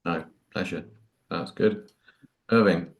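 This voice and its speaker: A man's voice: deep voice, takes it slowly. A man hosting a group session, informally inviting each participant to speak. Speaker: course host. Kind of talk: informal chairing of introductions.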